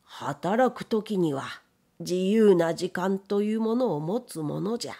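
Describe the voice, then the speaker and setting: A stern old master of the house played by a woman narrator from a storybook. He speaks slowly and with authority, in old-fashioned elderly phrasing, brooding as he goes. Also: high-pitched, expressive, vocal fry, warm tone, heavily acted. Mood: commanding, gruff. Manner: slow, old-fashioned elderly speech, commanding.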